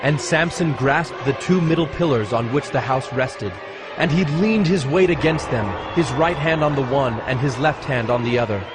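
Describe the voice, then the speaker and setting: A man's voice: medium pitch, moderate pace, crowd noise. A man giving scripted narration for a dramatized scripture recording, with music behind him.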